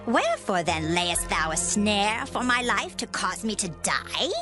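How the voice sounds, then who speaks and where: A woman's voice: medium pitch, sing-song, unhurried. Fearful, swooping woman spirit medium. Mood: fearful, accusing. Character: fearful, suspicious woman medium of ancient Israel. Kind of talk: dramatized scripture acting in archaic English. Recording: music under the voice.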